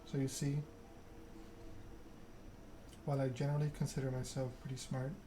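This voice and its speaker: Man voicing a bored, monotone vampire enforcer. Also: mid-range voice, normal speed, close to an everyday voice. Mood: calm menace. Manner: calm, slightly bored monotone. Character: tall suave male vampire enforcer, calm, bored, menacing. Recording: clear background ambience noise.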